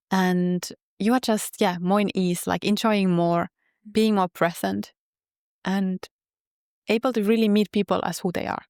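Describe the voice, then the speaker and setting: A woman with a Finnish accent, a podcast guest speaking in an earnest, measured way. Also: mid-range voice, a little mellow, no room sound, studio quality. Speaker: podcast guest, health coach. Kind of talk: reflective interview talk.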